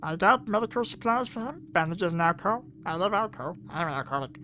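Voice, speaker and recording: A man's voice: sits high, animated, quick pace, sharp tone, slight background noise. A man voicing a shapeshifting alien that poses as a schoolgirl friend in a visual novel, with odd, simple statements. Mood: cheerful, odd.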